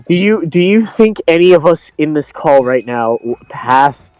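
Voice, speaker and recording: A man's voice: fairly high pitch, expressive, a little slow, a little mellow, smooth. A young American man from Florida bantering casually with friends on a game stream, slangy and profane, repeating phrases for emphasis. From Florida, United States. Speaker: game streamer in a group call. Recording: music behind the voice. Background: music.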